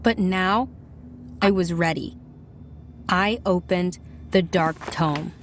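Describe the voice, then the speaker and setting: A woman's voice: on the low side, slow, slight background noise. A woman with an American accent voicing a defiant schoolgirl who narrates her adventure in an audio drama, confiding and dramatic. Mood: defiant, awed.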